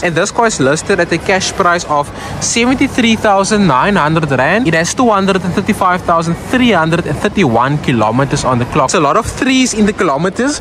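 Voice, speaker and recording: A fairly high-voiced young South African man, Afrikaans by birth, chatting his way through a used-car walkaround. He keeps calling his viewers "guys" in an easygoing, talkative way. He records on a phone outdoors, with clear street and lot noise behind him. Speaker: car vlogger. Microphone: phone camera.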